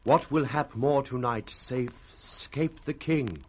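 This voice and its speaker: A British man playing a grieving young nobleman in hiding, delivering measured verse as a 1960s radio soliloquy. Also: mid-range voice, slightly rough. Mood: resolute, wary.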